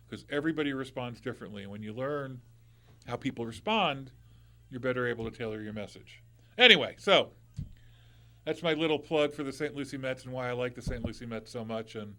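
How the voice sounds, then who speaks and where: A middle-aged man with a loud voice, hosting a live call-in radio show as a lawyer, chatting in a loose, conversational way with restarts and asides into a studio mic. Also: medium pitch. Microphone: studio microphone.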